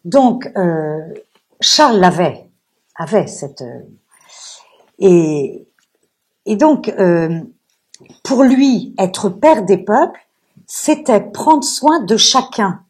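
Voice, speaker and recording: A woman with a husky voice giving an unscripted talk as a guest speaker, earnestly explaining with anecdotes and asides. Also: mid-range voice, sing-song, extremely slow, long pauses, a little mellow. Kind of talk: public lecture discussion, unscripted.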